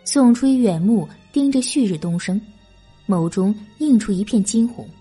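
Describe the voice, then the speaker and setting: A woman's voice: mid-range voice, expressive, normal speed, dark tone, breathy edge, faint music behind. A woman narrating a historical novel as an audiobook in a dramatic, scripted style.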